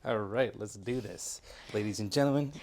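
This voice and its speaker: A middle-aged man reads a children's story aloud with a medium-pitched voice. He takes the part of a patient father who explains things as he goes. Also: moderate pace, a crowd in the background. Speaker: father reading aloud with his son. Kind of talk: bedtime story read-aloud.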